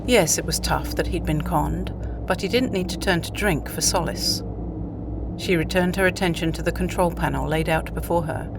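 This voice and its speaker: A woman's voice: on the low side, moderate pace, vocal fry, music in the background, low-quality recording. A woman reading scripted story narration for an audio play.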